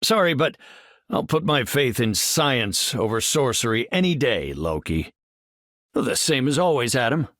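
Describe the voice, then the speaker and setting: An actor playing a brilliant, composed superhero scientist in a video game, with articulate, earnest, scholarly delivery. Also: male voice, medium pitch, big pitch swings, a little slow, fairly bright tone, no room sound, studio quality. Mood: calm, thoughtful. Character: brilliant, composed male superhero scientist leading his team. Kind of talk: video game character voice lines.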